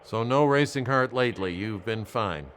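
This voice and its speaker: An American man speaking as a volunteer caller, courteous and measured, with hesitant pauses as he talks. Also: medium pitch, expressive, a bit bright, slight background noise. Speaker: volunteer caller doing a welfare check. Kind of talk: gentle check-in questions and spoken case notes.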